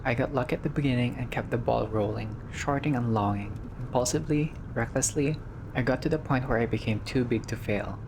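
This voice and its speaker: Creaky-voiced man reading game dialogue. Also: medium pitch, brisk, noisy background. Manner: expressive, slangy asides. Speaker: gaming video player reading dialogue aloud. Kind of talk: casual playthrough reading and reacting.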